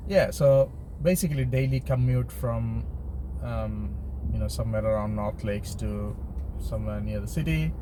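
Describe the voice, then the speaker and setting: A man vlogging from behind the wheel, chatting casually and unscripted to viewers in a halting, hesitant way. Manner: halting and hesitant, trailing into pauses. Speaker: driving vlogger. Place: inside a car while driving. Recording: noisy car interior with road rumble. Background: steady car and traffic noise.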